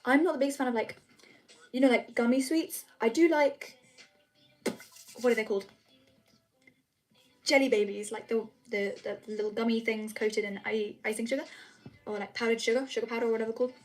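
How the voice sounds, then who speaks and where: A woman's voice: fairly high pitch, fairly even intonation, fairly quick, pauses often. A young woman chatting casually with her viewers on a live stream, rambling with lots of fillers. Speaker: vlogger answering chat.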